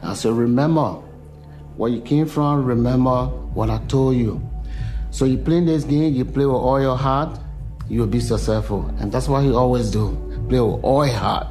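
A man's voice: medium pitch, moderate pace, dark tone, soft background music, low-quality recording. A middle-aged man, speaking earnestly as a father in a documentary interview. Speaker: father of an athlete.

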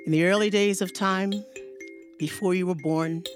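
A woman telling a story to children in an animated, rhythmic way, drawing out words with playful emphasis. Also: on the low side, moderate pace, soft background music.

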